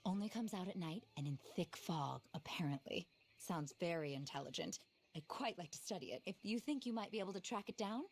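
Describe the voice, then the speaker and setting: A woman's voice: lowish voice, animated, brisk, a little mellow, a little hiss or hum. An actress playing an eccentric, easily flustered lady naturalist in a video game, speaking in a genteel, fervent, theatrical way. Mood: intrigued. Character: earnest, flustered, eccentric lady naturalist who adores animals. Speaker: character in a video game cutscene. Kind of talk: dramatic game voice acting.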